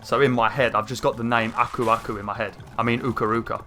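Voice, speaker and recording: A young man with a croaky throat, rambling through casual gameplay commentary with lots of fillers. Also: mid-range voice, quick pace. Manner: rambling, chatty, many fillers, excited outbursts. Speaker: gaming channel host. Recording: faint game music behind. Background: game music.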